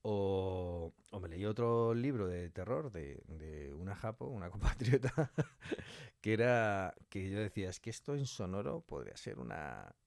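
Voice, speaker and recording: A man speaking as a guest in a casual interview, a writer and director explaining his craft in colloquial, animated talk. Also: deep voice, unhurried, vocal fry, no echo, studio quality.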